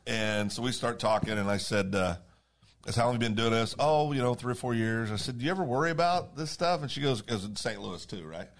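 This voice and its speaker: A man's voice: mid-range voice, fast talker. A man co-hosting a podcast in casual chat, with a big voice, telling stories with frequent restarts. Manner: talkative storyteller, many restarts. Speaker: podcast co-host.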